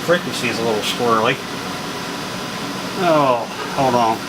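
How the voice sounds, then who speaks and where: Loud noise surrounds a slow-talking man at a ham radio station. He speaks casually and haltingly, sounding distracted as he checks in. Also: medium pitch. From United States. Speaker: amateur radio operator. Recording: very noisy radio room recording.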